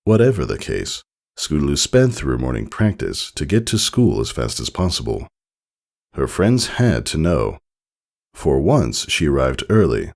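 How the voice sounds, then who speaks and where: Male story narrator, deep and fry-laden, expressive scripted reading. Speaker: fan fiction reader.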